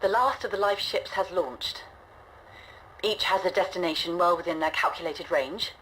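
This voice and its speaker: A resolute, weary woman in command of a stranded starship , played by an actress, speaks in a low voice. Her delivery is measured, grave and reflective, like a scripted log in a science fiction game. A faint noisy ambience sits behind her words. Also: a bit bright, lightly acted. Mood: solemn. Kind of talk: scripted audio log narration, dramatic game acting.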